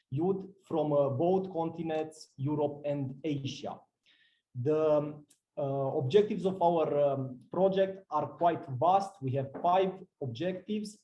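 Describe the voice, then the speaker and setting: A man, a project manager, presenting his project with halting speech and many fillers. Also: on the high side, warm tone, reverberant, poor audio. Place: seminar room.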